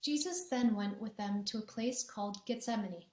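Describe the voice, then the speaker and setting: A woman narrating a gospel passage in a church dramatic reading, steady and clear. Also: mid-range voice, moderate pace. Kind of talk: scripture narration. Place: church sanctuary. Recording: echoey church room.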